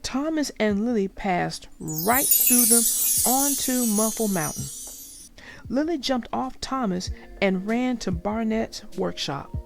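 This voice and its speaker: Unhurried woman reading a children's story. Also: fairly high pitch, lively intonation, vocal fry. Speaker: storybook narrator. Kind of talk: scripted children's read-aloud narration. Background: music.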